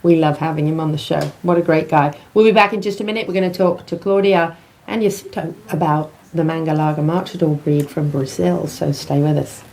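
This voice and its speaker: A woman's voice: low voice, steady tone, a little mellow. A British woman hosting a live studio talk show, reading sponsors and introducing guests in an upbeat way. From Britain. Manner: upbeat, quick sponsor reads. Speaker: host.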